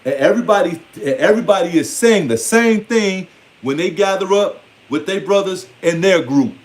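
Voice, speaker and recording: High-voiced American man in his forties. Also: animated, normal speed. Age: 40s. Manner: streetwise, emphatic, rambling, often swearing and quoting others. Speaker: online speaker. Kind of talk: informal spoken monologue to followers.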